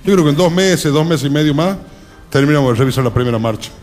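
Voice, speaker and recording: A man's voice: on the high side, animated, fast, darkish tone, natural voice. A man playing a deferential, hesitant Argentine henchman to a political boss in a satirical radio comedy sketch. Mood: dutiful.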